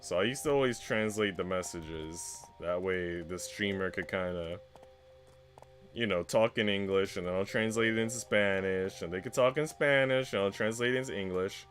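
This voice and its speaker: A man's voice: low voice, normal speed, fairly bright tone, soft background music. A man livestreaming in casual, conversational chat with his viewers. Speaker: livestreamer reading visual novels.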